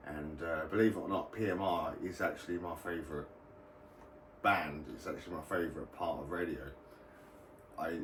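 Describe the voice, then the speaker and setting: A low-voiced British man hosts an informal explainer video, talking in a loose, rambling way. He sounds set back from the microphone in an echoey room. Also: fairly bright tone. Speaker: radio hobbyist video host. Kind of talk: casual explainer vlog. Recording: roomy, distant mic, faint background noise.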